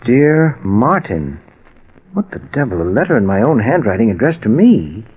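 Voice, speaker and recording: Bewildered troubled radio-drama man, husky. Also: mid-range voice, sing-song, phone-quality sound. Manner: halting, bewildered. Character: distressed man Martin, fearing his mind is failing. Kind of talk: 1940s radio acting. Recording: old radio drama recording, slightly husky sound.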